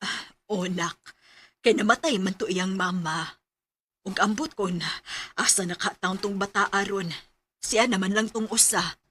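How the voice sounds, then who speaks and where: A woman's voice: mid-range voice, a little slow, long pauses, a bit bright, slightly rough. A Filipino woman with a Cebuano accent acting a caring, accepting mother of a grown son in a Bisaya radio drama. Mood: gentle, sympathetic.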